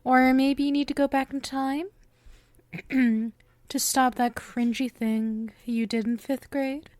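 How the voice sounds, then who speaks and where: A sweet, possessive young witch played by a woman speaks in a high, unhurried voice, very close and dry. She is coy and teasing, doting on her listener in an intimate, theatrical ASMR roleplay style. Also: no room sound.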